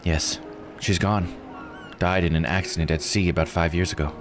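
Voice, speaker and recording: A man reading as a low-voiced, regretful woman diver. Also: steady tone, normal speed, lightly acted. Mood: regretful, resigned. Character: regretful, weary woman diver confiding her past. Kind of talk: reading visual novel dialogue aloud. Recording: music under the voice. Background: game music.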